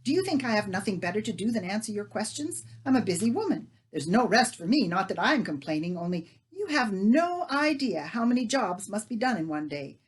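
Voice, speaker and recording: A woman voicing a fussing, talkative middle-aged baker's wife who rattles on in a shrill, bustling way. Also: very animated, moderate pace, breathy edge. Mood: chattering.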